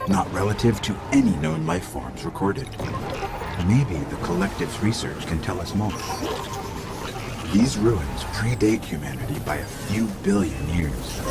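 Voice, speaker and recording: An actor playing a small, chatty robot companion in a video game, curious and wry, with a fussy, expressive way of talking. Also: male voice, low voice, unhurried, gravelly, loud music behind the voice, full character voice. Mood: curious fascination. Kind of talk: video game cutscene acting.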